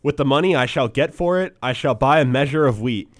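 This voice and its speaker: A man's voice: mid-range voice, brisk, dry recording, studio quality, natural voice. A young man voicing a poor old fisherman in a group reading, pleading and frightened, in a raised, strained voice. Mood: lamenting. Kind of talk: student reading a story aloud as a role.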